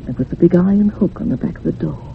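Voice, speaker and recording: An actress playing a troubled, gossipy older housekeeper in a radio thriller, rambling dramatically and sometimes dropping to a hush. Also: female voice, fairly low pitch, quick pace, creaky. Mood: haunted, grave. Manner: rambling, dramatic, hushed at moments. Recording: muffled phone-like radio recording with hiss.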